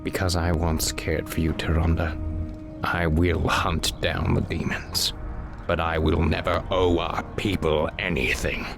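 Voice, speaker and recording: An actor playing an embittered night elf freed after ages of imprisonment, brooding and dramatic, in a video game cutscene. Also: male voice, sits low, flat delivery, a little slow, creaky. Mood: bitter, defiant. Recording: music behind.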